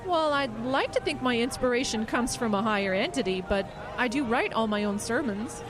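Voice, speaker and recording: A woman's voice: on the high side, animated, moderate pace, crowd noise, background noise, close to an everyday voice. A woman playing a kindly, practical small-town pastor in a radio drama. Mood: friendly.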